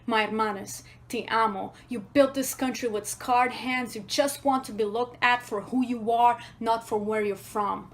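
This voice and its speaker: A woman's voice: on the high side, fairly even intonation, normal speed, hardly any pauses. A woman delivering an emotional spoken-word address to her listeners.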